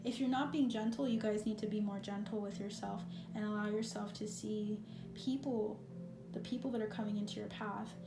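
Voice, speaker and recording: A woman giving a pick-a-card tarot reading, talking in a gentle, reassuring, musing way. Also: medium pitch, fairly even intonation, normal speed, music in the background. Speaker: tarot reader. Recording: echoey room with noise.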